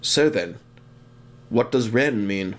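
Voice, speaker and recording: A man playing a troubled young little steam engine in an audio drama, earnest, slow and hesitant. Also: on the low side, lively intonation.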